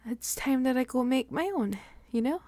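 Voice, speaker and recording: A woman playing a tender, excitable young woman in an improvised ASMR roleplay, hesitant and soft, slipping into whispers. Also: high voice, normal speed, dry recording, lightly acted. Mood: wistful farewell. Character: young woman nervously leaving her hometown, tender and excitable.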